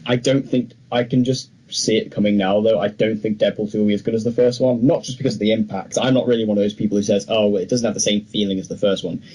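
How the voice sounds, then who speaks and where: A man's voice: on the low side, steady tone, fast, hardly any pauses, darkish tone. A young British man co-hosting a film podcast, chatting casually as he reviews movies. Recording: clearly noisy background ambience.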